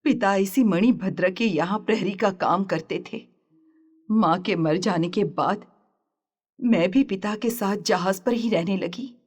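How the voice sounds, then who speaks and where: A woman's voice: medium pitch, a little slow, a little mellow, lightly acted. A woman playing a fearless young orphaned captive in a dramatised audio story, speaking theatrically. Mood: sorrowful.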